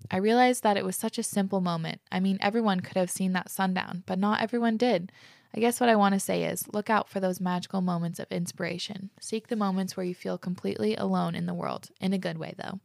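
A woman's voice: medium pitch, fairly even intonation, fast talker, creaky, a little mellow, no room sound, clean studio sound. A young woman hosting a podcast, reading listener stories aloud and reacting to them casually, with frequent "like" fillers and the odd swear word. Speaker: podcast host.

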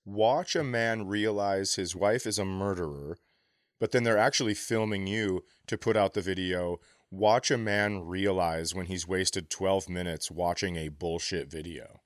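A man with a low voice trades casual banter on a comedy podcast. He comes across as sardonic and blunt, teasing and swearing freely. He is recorded close and very dry. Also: fairly even intonation, measured pace, no echo.

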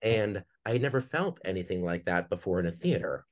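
A man's voice: low voice, expressive, brisk. A middle-aged man with a Minnesota accent, talking earnestly and reflectively as an interview guest. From Minnesota, United States. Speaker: podcast guest, theater director. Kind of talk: conversational interview.

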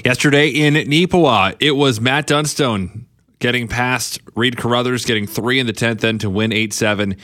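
A man hosting a sports radio show who asks brisk, friendly questions. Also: medium pitch, a bit bright.

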